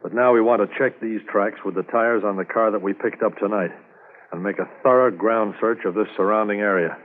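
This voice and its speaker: An actor playing a keen, businesslike police investigator and dog handler in a brisk, earnest 1950s radio drama style. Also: male voice, on the low side, fairly even intonation, close to an everyday voice. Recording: noisy, tinny 1950s radio transcription.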